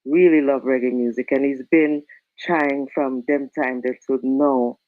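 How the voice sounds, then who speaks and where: A woman's voice: very deep voice, fairly even intonation, unhurried, dry recording. A woman reggae singer, chatting as a guest and filling her talk with "you know". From Canada and Jamaica. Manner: warm, chatty, many "you know" fillers, Jamaican phrasing. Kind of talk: casual interview chat. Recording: dull lo-fi call audio.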